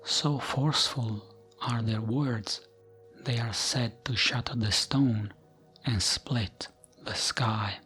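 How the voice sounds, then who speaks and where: A man tells fantasy legends in a soft, soothing ASMR style. His delivery is very unhurried and hushed, often dropping into a whisper. Music plays behind his mid-pitched voice. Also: rough recording. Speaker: ASMR gaming narrator. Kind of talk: ASMR storytelling, scripted narration of fantasy lore.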